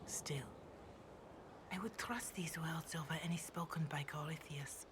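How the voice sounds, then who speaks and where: Low-voiced solemn priestess. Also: female voice, noisy background. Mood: reflective. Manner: solemn, measured, reverent. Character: devout, grave chantry priestess, calm and counselling. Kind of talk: video game dialogue, scripture recitation.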